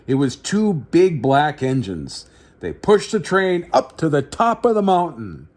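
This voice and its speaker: A man reads a children's picture book aloud as the host of story time. His voice is slightly high and very animated, with dramatic, drawn-out phrasing aimed at young listeners.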